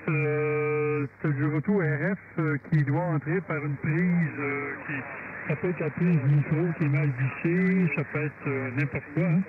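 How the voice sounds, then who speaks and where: A man with a Quebec French accent chatting casually and haltingly over amateur radio about technical matters. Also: on the high side, fairly even intonation. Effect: radio transmission. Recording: thin narrowband radio link, clear static noise.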